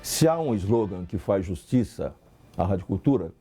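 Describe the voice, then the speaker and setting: A Brazilian man gives an emphatic interview tribute. His voice is very dark and relaxed in tone, sitting on the low side. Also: normal speed, breathy edge, soft background music.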